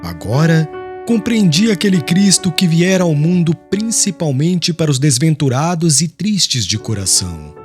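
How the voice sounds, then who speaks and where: A man narrating a literary audiobook in a solemn, expressive, scripted manner. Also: a little high, normal speed. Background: music.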